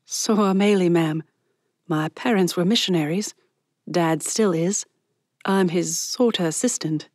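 A woman narrator voicing a bold, chatty young woman who speaks clearly and confidently in an audiobook. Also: fairly low pitch, unhurried, a little mellow, dry recording, studio quality. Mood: breezy, friendly.

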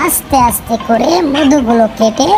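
A woman voicing a cheerful village girl, narrating a cartoon in the first person in a bright, chatty way. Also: a little high, moderate pace, natural voice. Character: cheerful village girl helping her father. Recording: cartoon voice-over with loud music. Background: background music.

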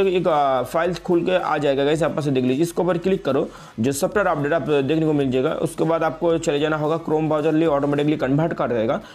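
A young man hosting a casual tech tutorial, repeating himself and mixing English words into his talk. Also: on the high side. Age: young adult. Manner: repetitive, frequently addresses viewers as guys, mixes in English words. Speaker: tech video host. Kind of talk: casual phone update tutorial.

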